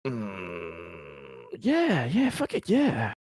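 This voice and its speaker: Animated, lowish-voiced man playing tabletop games. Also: drawn-out words, no echo, studio quality. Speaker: tabletop game player. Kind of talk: casual chat during a tabletop game.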